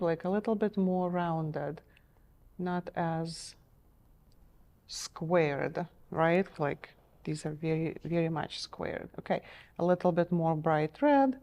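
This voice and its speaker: Slow, mellow-voiced painting instructor woman. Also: on the low side. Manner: gentle, encouraging, checks in with "okay?". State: losing her voice. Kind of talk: step-by-step painting lesson. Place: TV studio.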